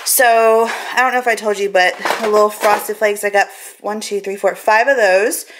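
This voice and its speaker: A woman's voice: mid-range voice, steady tone, moderate pace, noisy background. A woman in her thirties with an American accent, chatting informally and rambling as she vlogs in her kitchen on a handheld camera. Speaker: vlogger. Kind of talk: casual grocery haul vlog chat. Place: home kitchen, indoors. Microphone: camera mic, handheld at arm's length.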